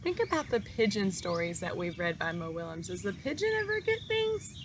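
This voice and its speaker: A woman's voice: medium pitch, big pitch swings, a little fast, very noisy. A woman teacher talking to young children in a friendly read-aloud style. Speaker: teacher reading a picture book aloud.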